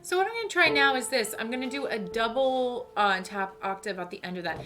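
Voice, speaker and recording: High-voiced woman voice teacher with piano. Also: fairly quick. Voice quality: big singing voice. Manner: sings staccato scale syllables then explains technique in a relaxed spoken voice; self-deprecating, apologizes for slips. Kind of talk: vocal exercise lesson with piano. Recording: loud piano under the voice. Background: piano accompaniment.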